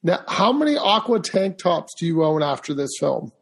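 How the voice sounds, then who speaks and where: Interviewer with a breathy voice. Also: mid-range voice, big pitch swings, moderate pace. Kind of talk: casual interview questions.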